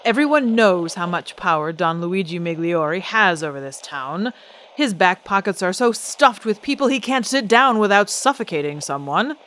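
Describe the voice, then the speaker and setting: A woman's voice: mid-range voice, expressive, moderate pace, a bit bright, close to an everyday voice. A woman playing a poised, defiant celebrity singer in an old-time radio drama, speaking with composed, pointed, genteel diction. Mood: firm, defiant.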